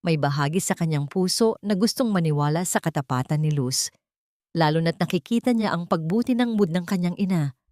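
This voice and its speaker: A woman's voice: on the low side, animated, fairly quick, a little mellow, no room sound, studio quality. A woman reading dramatic scripted narration for an audio drama story.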